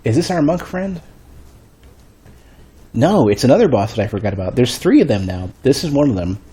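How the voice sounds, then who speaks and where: A male streamer with a deep, bass-heavy voice, a bit stuffy, chatting casually and thinking aloud while he plays. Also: sing-song, fairly quick, warm tone, slightly rough. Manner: rambling, thinking aloud with restarts. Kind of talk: casual gameplay commentary. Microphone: desk microphone.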